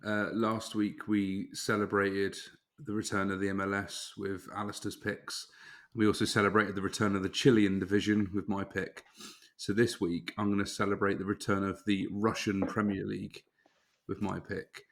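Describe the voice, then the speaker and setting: A man co-hosting a podcast, calmly running through his picks in a matter-of-fact way. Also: fairly low pitch, normal speed. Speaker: podcast co-host. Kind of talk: casual chat.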